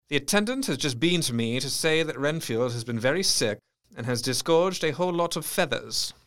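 An intense, brooding Victorian doctor. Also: male voice, a little high, animated, fairly quick, fairly bright tone. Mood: grave, watchful. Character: earnest, lovesick Victorian asylum doctor recording his diary. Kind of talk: dramatic first-person diary narration.

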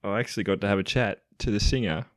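A man with an Australian accent hosting a casual music podcast, upbeat and quick to laugh. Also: on the low side, no room sound, studio quality. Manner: upbeat, laughs easily, many fillers. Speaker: podcast host.

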